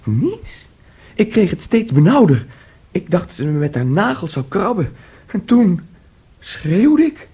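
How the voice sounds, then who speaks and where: A nervous, clinging young man in a Dutch radio drama. His voice sits fairly high for a male, a little muted and husky, and he speaks in agitated, halting bursts, naive and boastful by turns. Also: sing-song, mellow, heavily acted. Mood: frightened, breathless. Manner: agitated, halting, repeating himself. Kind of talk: Dutch radio play acting.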